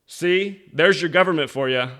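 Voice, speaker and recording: A man's voice: fairly high pitch, normal speed, noisy background. An American man delivering an unscripted commentary as an online show host, in a sardonic, pointed manner. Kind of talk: unscripted conspiracy monologue and commentary.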